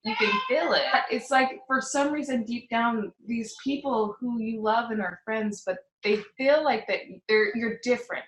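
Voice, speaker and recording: A woman's voice: on the high side, slight background noise. An American woman from Utah speaking as an interview guest in casual, reflective conversation, often searching for her words. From Utah, United States. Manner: halting, searching for words, frequent "like". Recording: echoey, roomy sound.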